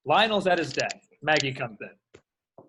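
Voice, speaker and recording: A man's voice: fairly high pitch, very animated, measured pace, bright, forceful tone, slight background noise. A man reading screen directions aloud from a script in a clipped, announcing way.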